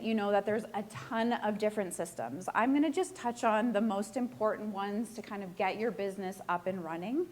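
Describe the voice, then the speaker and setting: A woman real estate agent giving a casual live presentation to a room, hoarse and close to losing her voice, chatty and self-deprecating as she puts questions to the audience. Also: mid-range voice, a little mellow.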